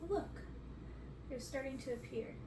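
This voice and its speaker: A woman voicing excitable, malicious witch sisters in a storybook reading, urgent and theatrical. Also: high-pitched, unhurried. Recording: roomy, distant, clearly noisy bedroom recording.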